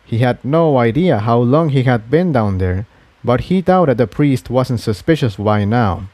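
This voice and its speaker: A man's voice: medium pitch, animated, a little slow, dark tone, no echo. Male story narrator, dry close sound. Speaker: fan fiction reader.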